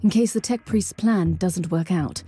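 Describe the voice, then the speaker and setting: Mellow-voiced, resolute warrior nun. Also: female voice, fairly low pitch, unhurried, slightly rough. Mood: composed, resolute. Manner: resolute, commanding, composed. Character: stern, fearless, devout warrior nun inquisitor.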